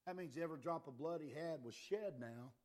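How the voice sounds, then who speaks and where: A man preaching a fiery sermon, impassioned and swinging between quiet and loud, his voice a little worn. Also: a little high, fairly quick.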